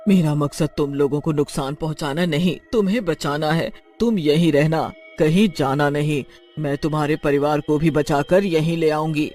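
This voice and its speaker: A man's voice: fairly high pitch, fairly even intonation, normal speed, hardly any pauses, a little mellow, soft background music, natural voice. A man voicing an earnest, well-meaning female witch in a cartoon, pleading emphatically in dramatic dialogue. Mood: reassuring.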